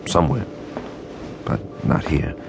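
An actor playing a somber, rueful man recounting a calamity in a radio drama, brooding and deliberate with weighty pauses. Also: lightly acted. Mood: somber, reflective. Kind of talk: scripted first-person radio drama narration. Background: music.

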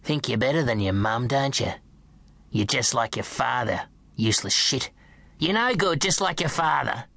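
A spiteful old grandmother, drunk and sneering, her voice gravelly and sharp-edged, with a man doing her voice. The words bite and the tone scoffs. It is close and dry, with no room around it. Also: fairly low pitch, moderate pace, dry recording.

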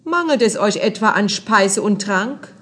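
Husky, lilting old fairy-tale crone. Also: female voice, medium pitch, lively intonation, a little slow, a bit bright. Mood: chiding, secretive. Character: mysterious old little woman, merry, nimble, secretive. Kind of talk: German fairy-tale radio play acting.

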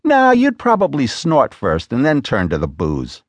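Exasperated sharp-tongued woman partner, done by a man, raised pitch, sarcastic and scolding, swooping emphasis, dry studio sound. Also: huge pitch swings, a little slow, dry recording.

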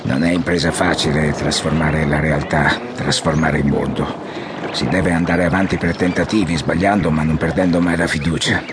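An actor playing a weary, disillusioned general and former president in an audio drama, speaking in solemn, formal, measured phrases. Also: male voice, extremely low pitch, fairly even intonation, background noise. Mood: patient, resigned. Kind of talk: audiobook radio drama acting. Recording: music behind the voice.

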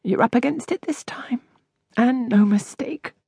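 A woman's voice: on the high side, measured pace, dark tone, slightly rough, no echo, studio quality, pitched above the performer's own voice. A woman voicing a stout, down-on-her-luck lady lodger, indignant and self-pitying, with theatrical exclamations. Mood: rueful self-scolding.

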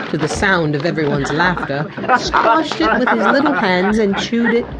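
Expressive woman narrating a children's story. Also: medium pitch. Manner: expressive, warm storytelling delivery. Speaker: audiobook narrator. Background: background music.

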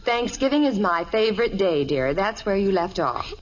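An actress playing a gentle, placating mother in a 1950s radio sitcom. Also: mid-range voice, big pitch swings, moderate pace. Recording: muffled, distant 1950s radio recording.

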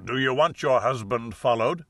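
A man's voice: mid-range voice, normal speed, fairly bright tone, no echo, studio quality, natural voice. A man voicing a curt, imperious fat detective. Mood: curt, impatient. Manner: formal, curt, grunting and muttering. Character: very fat, imperious, blunt male private detective.

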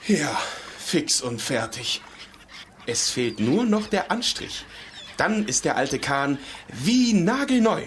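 A woman's voice: low voice, sing-song, takes it slowly, a little hiss or hum, heavily acted. A woman voicing a sensible, somewhat grumpy creature in a children's audiobook, speaking in a firm, matter-of-fact way. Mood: content. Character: sensible practical creature, a bit grumpy boat builder.

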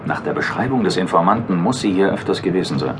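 A man's voice: low voice, expressive, quick pace, noisy background. An actor playing a cool, unflappable male police detective in a German audio drama, speaking with dry irony and composure. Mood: calm, wry. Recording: music under the dialogue.

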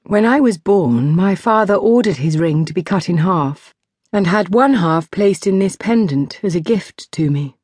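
A gracious teenage princess, acted by a woman narrator, lonely and bound by duty. She explains herself earnestly and politely in an audiobook tale, in a slightly low voice with a dry, close sound. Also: animated, a little slow, darkish tone, no echo. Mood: earnest, explaining.

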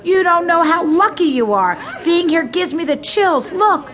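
A woman's voice: very high-pitched, animated, a little slow, a bit bright, heavily acted. An actress playing a pushy, gushing pageant mother who talks to her young daughter with breathless, exaggerated emphasis in an audio drama. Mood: coaxing, insistent.

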